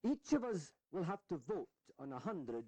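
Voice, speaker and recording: An actor playing an earnest, high-minded eighteenth-century delegate in a historical audio drama, speaking in formal oratory. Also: male voice, very animated, moderate pace, darkish tone, no room sound. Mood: declamatory.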